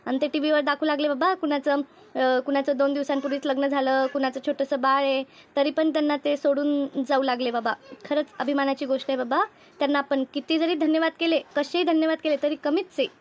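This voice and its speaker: Woman acting a fretful high-voiced village aunt. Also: flat delivery, fast talker, lo-fi sound, natural voice. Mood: moved, admiring. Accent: rural Maharashtra Marathi. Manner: fretful, repeating herself. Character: anxious, tender-hearted older village aunt. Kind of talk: amateur Marathi cartoon dubbing.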